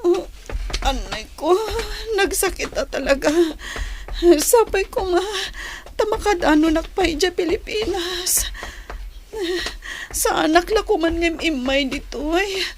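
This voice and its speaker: A woman's voice: very high-pitched, expressive, slow pace, pauses often, a little hiss or hum, full character voice. An actress playing a distressed, ailing young woman in a radio drama, plaintive and tearful, with a Filipino accent. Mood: weary, pained. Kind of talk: Ilocano radio drama acting.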